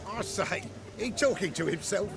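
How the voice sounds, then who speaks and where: Gravelly, rowdy village gambler. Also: male voice, high-pitched, animated, normal speed, over music. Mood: grumbling. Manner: blunt, colloquial. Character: rowdy, bullying male wedding gambler, common folk. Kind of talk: video game voice acting.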